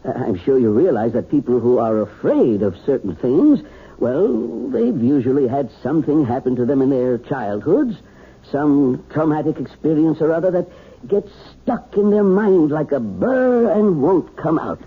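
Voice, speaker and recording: A kindly family doctor with a very husky, raspy voice that swings widely in pitch. He talks in a folksy, reassuring way. The sound is tinny and narrow, like an old radio drama. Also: big pitch swings, normal speed, exaggerated voice.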